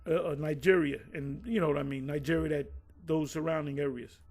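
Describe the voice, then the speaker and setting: High-voiced man talking bluntly. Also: fairly even intonation, a little fast, a little mellow. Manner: blunt, profane, rambling with many restarts. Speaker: former group member recounting events. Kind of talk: casual conversation.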